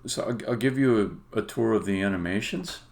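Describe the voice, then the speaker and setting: A man giving a casual live-stream demo as a game developer, halting and thinking aloud as he goes. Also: fairly low pitch, gravelly. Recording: noisy, with keyboard typing and clicking.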